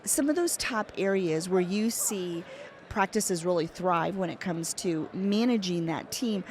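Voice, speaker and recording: Female host, slightly low voice, conversational interviewing style, faint background ambience. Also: expressive, a little slow, a little mellow, a little hiss or hum.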